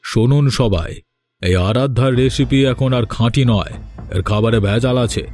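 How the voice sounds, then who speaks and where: A man's voice: medium pitch, unhurried, darkish tone, soft background music, close to an everyday voice. A man voicing a scheming merchant who talks in a smug, threatening way in a cartoon drama. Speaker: villain.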